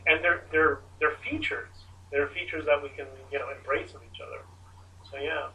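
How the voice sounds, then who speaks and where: Man, bright voice, fairly high pitch, emphatic, heard from a distance. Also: normal speed, a little hiss or hum. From Canada. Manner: emphatic, animated storytelling. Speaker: convoy organizer answering press questions. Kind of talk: press conference answers.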